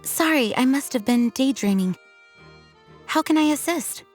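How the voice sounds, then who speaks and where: A woman voicing a courteous, dutiful, overworked young knight commander in a video game, polite and measured. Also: high voice, lively intonation, faint music behind. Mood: flustered, courteous. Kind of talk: English game voice acting.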